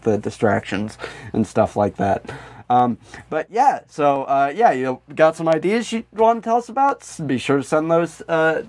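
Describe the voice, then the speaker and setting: A man's voice: medium pitch, darkish tone. A man co-hosting a podcast in casual comedic chat, rambling, joking and swearing freely. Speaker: podcast co-host. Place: small room in a house.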